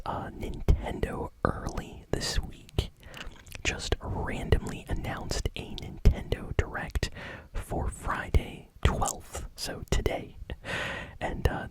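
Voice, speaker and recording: A woman hosting gaming news in ASMR style, speaking in a soft, soothing, breathy whisper. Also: very high voice, poor audio.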